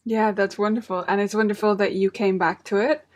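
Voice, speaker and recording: A woman's voice: mid-range voice, steady tone, fairly quick. A woman hosting a music teaching show, chatty and enthusiastic as she welcomes and questions her guest. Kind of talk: upbeat interview hosting.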